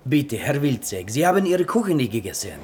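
An actor playing a sanatorium carer who calls out to a resident and coaxes him. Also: male voice, medium pitch, sing-song, brisk, a little mellow, lightly acted. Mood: coaxing. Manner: calling out, coaxing. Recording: echoey room, music behind.

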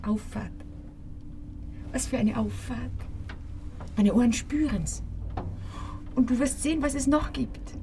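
An actress playing a dreamy, yielding young woman in love in a radio play, speaking in a hushed, deliberate way, often nearly whispering. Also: on the high side, slight background noise. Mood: wistful, dreamy. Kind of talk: German radio play acting.

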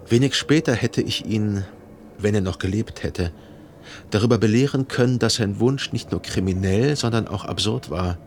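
An actor playing a brooding, jealous lover who narrates his thoughts in a literary radio drama, introspective and deliberate. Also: fairly low pitch, a little hiss or hum, natural voice. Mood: bitter, reflective. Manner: introspective, deliberate, rhetorical self-questioning.

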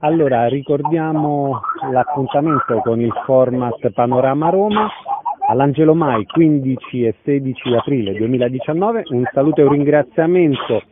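A man hosting a radio interview, introducing guests in a brisk, friendly way. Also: medium pitch. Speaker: radio host. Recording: tinny line with clear background noise.

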